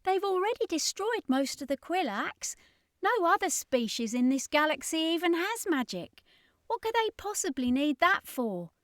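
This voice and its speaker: Woman doing young magic girl from a fantasy audiobook, high voice, urgent n earnest, dry sound. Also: big pitch swings, moderate pace, a bit bright, no room sound, heavily acted. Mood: urgent disbelief.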